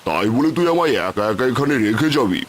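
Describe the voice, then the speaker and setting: A man voicing a haughty, suspicious rich city gentleman in a cartoon moral tale, condescending and complaining. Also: mid-range voice, very animated, quick pace, a little hiss or hum, close to an everyday voice. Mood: reluctant.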